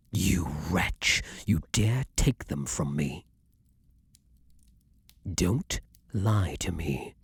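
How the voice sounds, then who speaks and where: A man playing an imperious, lovesick vampire king in an intimate ASMR fantasy roleplay, speaking formally and theatrically with breathy, dramatic pauses. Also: low-pitched, dry recording, full character voice. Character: ardent, imperious male vampire king, lovesick then menacing.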